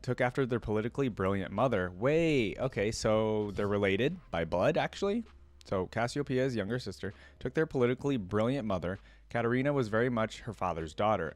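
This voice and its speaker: A man's voice: lowish voice, no echo. A man hosting a reaction video, chatting casually with spontaneous interjections and reading lore aloud. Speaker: host of a reaction channel.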